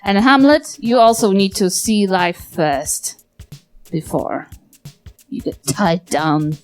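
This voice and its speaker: Woman playing a slow-talking, bossy old granny. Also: medium pitch, natural voice. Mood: disapproving. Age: elderly figure. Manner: scolding, halting, deliberate. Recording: music under a live stage recording.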